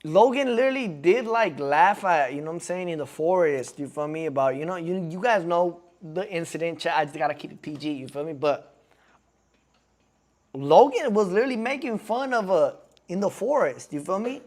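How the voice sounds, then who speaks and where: A man's voice: on the high side, animated, moderate pace, a little mellow. Slangy, rambling young man streamer. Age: 20s. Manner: slangy and rambling, keeps asking the chat "you feel me". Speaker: streamer reacting to a podcast clip. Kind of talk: casual live-stream reaction commentary.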